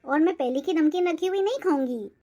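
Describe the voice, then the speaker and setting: A woman's voice: very high-pitched, lively intonation, a little fast, warm tone, lo-fi sound. A woman voicing a nagging older mother-in-law in a cartoon family drama, with a complaining manner. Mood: irritated, complaining. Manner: scolding, complaining.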